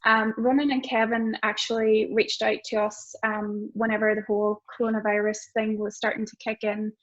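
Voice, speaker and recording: A woman's voice: medium pitch, muffled recording. A woman from a support agency welcomes people to a webinar in a friendly but hesitant way. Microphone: video call.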